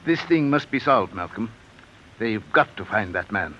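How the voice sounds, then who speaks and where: An actor playing a grave, businesslike university dean in a vintage radio drama, formal in manner. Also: male voice, lowish voice, lively intonation, moderate pace, muffled recording, close to an everyday voice. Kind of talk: 1950s radio drama acting. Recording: old radio broadcast, faint noise.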